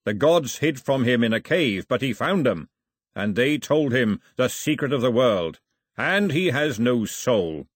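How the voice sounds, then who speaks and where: A man's voice: medium pitch, big pitch swings, unhurried, slightly rough, dry recording, studio quality. A man voicing a huge, proud, earnest island warrior with a declamatory manner in an audiobook story. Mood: solemn. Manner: declamatory, emphatic.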